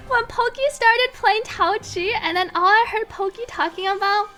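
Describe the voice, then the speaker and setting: A woman game streamer chatting casually with viewers and reading story text aloud in a playful, expressive, teasing way. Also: very high voice, measured pace, faint music behind.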